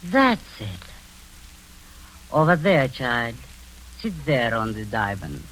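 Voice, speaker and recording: An actress in her sixties playing a domineering, sweetly sinister old woman in a 1940s radio drama, speaking softly and insistently with low chuckles. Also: big pitch swings, takes it slowly, exaggerated voice, rough recording. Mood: sinister, coaxing. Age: 60s. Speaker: Aunt Rita, Miss Crable. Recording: old radio broadcast with faint hiss.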